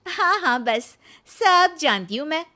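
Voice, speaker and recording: A woman's voice: sits high, big pitch swings, takes it slowly, pushed and bright, heavily acted. A woman voicing a jealous, possessive young wife in a cartoon, feisty and sulky, with a nagging, dramatic way of talking. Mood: scolding, possessive.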